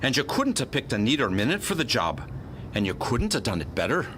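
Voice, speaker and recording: A man plays a hard-boiled police detective from a radio mystery, gruff and sardonic. His delivery swings around in pitch, over a clearly noisy recording with a low rumble. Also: wildly swooping pitch, fairly quick, a bit bright. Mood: sardonic, unimpressed. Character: tough, scowling square-faced male homicide detective. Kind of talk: radio play acting.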